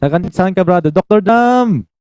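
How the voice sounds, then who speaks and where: A man's voice: sits high, expressive, moderate pace, a little mellow, clear, resonant tone, no echo. A man in his twenties with a Filipino accent, hosting a casual live stream, switching between Tagalog and English and calling out viewers by name. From Philippines. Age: 20s.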